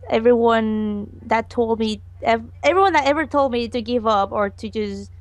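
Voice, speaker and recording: A woman's voice: on the high side, normal speed. A woman animator answering interview questions in an earnest way, giggling often and trailing off. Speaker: animator guest.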